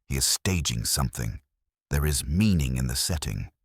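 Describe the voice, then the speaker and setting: A man reading the part of a terse, brooding Victorian detective in an audiobook, grave and deliberate. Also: a bass voice, lively intonation, slightly rough, no echo, studio quality, voice pushed down, full character voice. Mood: grave, intent. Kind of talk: audiobook character dialogue.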